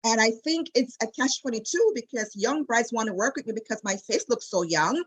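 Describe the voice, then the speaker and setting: A woman in her late forties with a Jamaican accent, a wedding travel specialist chatting as an interview guest in a lively storytelling way, with many fillers and restarts. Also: a little high, brisk, breathy edge, rough recording. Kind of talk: casual interview chat.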